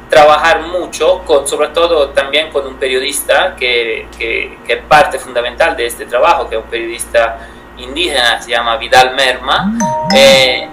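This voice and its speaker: Hombre italiano, voz brillante. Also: male voice, a little high, pushed and bright, a little hiss or hum, rough recording. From Italy. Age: 30s. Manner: thoughtful, hesitant, many fillers and restarts. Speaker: guest photographer. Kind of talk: reflective interview answers.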